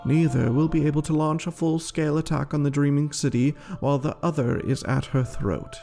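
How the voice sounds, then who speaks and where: A man voicing a cold, calculating queen with a measured, aloof manner in a story reading. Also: fairly high pitch, steady tone, gravelly, mellow, soft background music. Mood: cool resolve.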